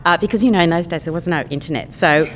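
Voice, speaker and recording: Woman, middle-aged, chatty and rambling, faint rumble behind. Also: fast talker, fairly bright tone, big-room sound. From Australia. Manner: chatty, enthusiastic storyteller with many fillers. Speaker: podcast guest, painter and former lawyer. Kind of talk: casual interview chat.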